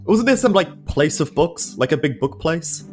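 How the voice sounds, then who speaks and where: New Zealand man, fairly high and animated, dry joking tabletop player, improvised banter. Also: normal speed, soft background music. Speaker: player in a tabletop role-playing podcast. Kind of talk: casual improvised role-play banter.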